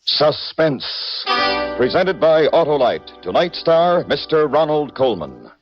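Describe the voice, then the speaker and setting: A man's voice: on the high side, sing-song, takes it slowly. A man announcing a 1950s radio suspense show in a portentous, dramatic style. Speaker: programme announcer. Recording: dull vintage radio recording with music.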